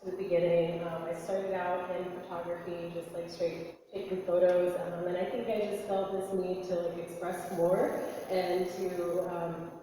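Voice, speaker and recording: A woman artist with a cold, her voice raspy and nasal, talking informally about her work with many fillers. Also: lowish voice, level tone, normal speed, dark tone, slight background noise. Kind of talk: casual artist talk. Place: large echoey gallery. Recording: echoey hall from far off.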